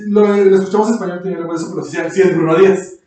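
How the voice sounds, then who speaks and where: Echoey Mexican man, fairly high, rambling. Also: steady tone, rapid-fire, warm tone, recorded from a distance. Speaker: podcast host. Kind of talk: casual chat. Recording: echoey room, off the microphone.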